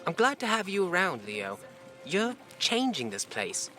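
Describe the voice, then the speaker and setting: A man's voice: high-pitched, wildly swooping pitch, a little slow, bright, forceful tone, soft background music, full character voice. A man playing a breezy, privileged young lord's son in an audio drama, chatty and flippant. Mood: amused.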